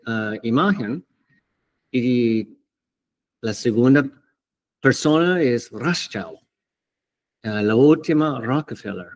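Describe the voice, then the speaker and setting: Very slow, halting man teaching the Bible. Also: medium pitch, darkish tone. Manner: hesitant, halting, many fillers and restarts. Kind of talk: unscripted Bible teaching to camera.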